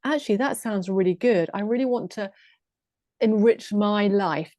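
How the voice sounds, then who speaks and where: Female host, mid-pitched, eager and affirming, dry close sound on a video call. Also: normal speed, darkish tone, no echo. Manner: eager, affirming, frequent "yes" and "yeah". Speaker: interview host. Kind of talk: casual interview questions.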